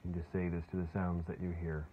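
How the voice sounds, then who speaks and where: A man leading a guided meditation in a soothing, unhurried way, leaving long pauses. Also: low-pitched, level tone. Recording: muffled phone-like sound with clear background noise.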